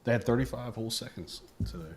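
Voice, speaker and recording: A man hosting a game stream in a laid-back, joking way, with a deep voice. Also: fast talker, a little mellow. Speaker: streamer host. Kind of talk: casual stream commentary.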